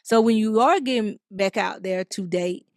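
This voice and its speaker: A woman in her forties shares advice on a podcast, her voice slightly gravelly and recorded close and dry. She talks in a chatty, meandering way, stringing thoughts together with lots of "you know." Her pitch sits mid-range. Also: a little mellow, dry recording. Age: 40s. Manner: chatty, drawn-out phrasing with many "you know"s. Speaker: podcast co-host. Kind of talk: casual advice chat, reading a listener letter.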